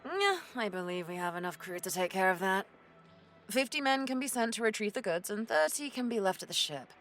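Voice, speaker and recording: Woman acting a stern, expressive pirate captain. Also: medium pitch, brisk, faint music behind, close to an everyday voice. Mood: firm, weary. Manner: commanding, dryly sarcastic. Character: stern, sardonic female pirate ship captain. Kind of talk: audio drama acting.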